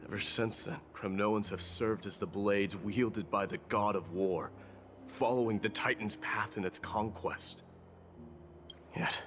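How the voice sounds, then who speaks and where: An actor playing a proud, solemn young warrior king and war demigod in a game, speaking formally and gravely. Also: deep voice, slight background noise. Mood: solemn disdain. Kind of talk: scripted game cutscene drama. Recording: game dialogue under loud music.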